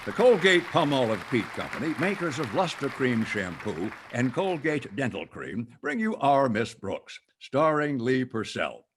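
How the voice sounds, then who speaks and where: A man's voice: mid-range voice, big pitch swings, normal speed, few pauses, breathy edge, some chatter behind. A man playing a genial, formal announcer of an old-time radio comedy, in scripted 1940s style. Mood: genial, festive. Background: prominent music.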